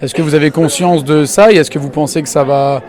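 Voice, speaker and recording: A man's voice: fairly high pitch, steady tone, brisk, darkish tone. A man interviewing people in a casual, conversational way. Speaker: interviewer at an event. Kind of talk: casual street-style interview. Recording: outdoor event with crowd chatter behind.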